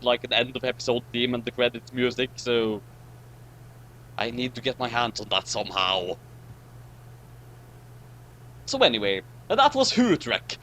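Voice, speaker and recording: Man, medium pitch, very lively intonation, casual rambling commentary, light hum. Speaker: host and commentator. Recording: noisy amateur recording with hum.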